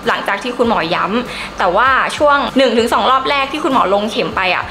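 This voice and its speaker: Female beauty vlogger, young adult. Higher-pitched, sharp bright tone, enthusiastic casual review read. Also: lively intonation, fast, faint music behind. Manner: chatty, enthusiastic, emphatic.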